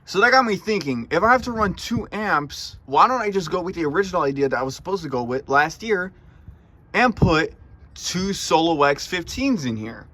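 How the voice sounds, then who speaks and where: A man's voice: high-pitched, animated, moderate pace, bright, forceful tone. A man chatting casually to camera as a car audio vlogger, in a rambling, informal way with some stuttering and repeated words, recorded inside a car. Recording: noisy vehicle interior.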